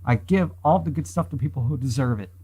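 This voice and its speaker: A man's voice: mid-range voice, fast, mellow, breathy edge, close to an everyday voice. A man reading the part of a brash, threatening young female boat thief in a visual novel. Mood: self-righteous. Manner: cocky, menacing.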